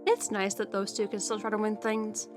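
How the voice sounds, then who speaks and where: Chatty young woman over clear music. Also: mid-range voice, flat delivery, moderate pace. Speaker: co-host. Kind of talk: scripted recap commentary.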